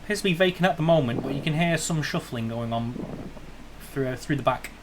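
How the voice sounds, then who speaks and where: A man's voice: mid-range voice, brisk. Man, game master, improvised descriptive narration, tabletop roleplay, faint background noise.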